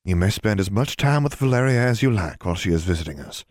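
A man's voice: fairly low pitch, animated, fast, a little mellow, no echo, clean studio sound, natural voice. A man voicing a gracious older noblewoman who speaks kindly and formally. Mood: gentle. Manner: kind, formal.

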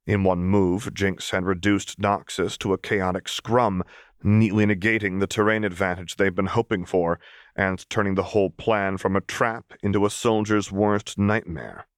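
A man with a fairly low voice narrates a dramatic story. He reads in a scripted audiobook style. The sound is dry and close, with no audible room. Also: no echo.

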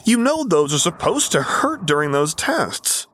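A man voicing a bright, blunt and teasing young man in an audiobook story. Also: a little high, big pitch swings, a little slow, slight background noise, voice pushed up. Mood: blunt, exasperated. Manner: playful, blunt, sincere.

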